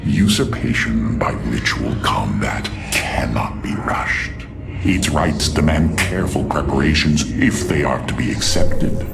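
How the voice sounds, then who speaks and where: An actor playing a proud, scheming alien warlord in a video game, haughty and theatrical in his gravelly delivery. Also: rumbling low, expressive, unhurried, over loud music, heavily acted. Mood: grave, menacing. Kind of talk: dramatic video game cutscene acting.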